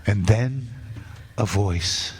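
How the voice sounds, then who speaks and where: A man acting a condemned man who wanders among ghosts, narrating a radio drama in a slow, solemn way. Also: lowish voice, darkish tone, a little hiss or hum. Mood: haunted. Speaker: radio play actor. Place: stage performance.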